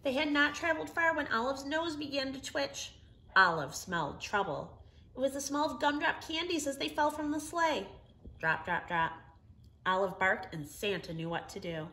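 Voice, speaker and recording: A middle-aged woman reading a children's story aloud in an expressive, emphatic storytelling manner. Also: high voice, normal speed. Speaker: storybook reader. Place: living room, indoors.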